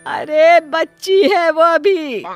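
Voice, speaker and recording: Woman doing a cartoon mother, middle-aged, tearful, pleading, doting, high voice. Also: extremely slow, no room sound, soft background music, heavily acted. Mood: indulgent. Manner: wailing, pleading, indulgent toward her daughter. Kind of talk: cartoon storybook voice acting.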